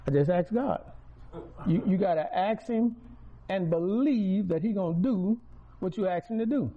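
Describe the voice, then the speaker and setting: A man's voice: high voice, moderate pace, phone-quality sound. A man, giving a preaching testimony to a congregation, repeating key words and building volume on stressed phrases. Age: 60s.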